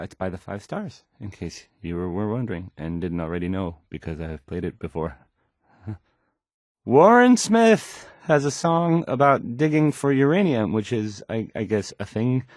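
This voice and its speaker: A man's voice: medium pitch, expressive, a little slow, darkish tone, no echo, clean studio sound, natural voice. An actor playing an awkward, self-doubting young male radio DJ in a game, hesitantly rambling through song introductions. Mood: rambling, uneasy.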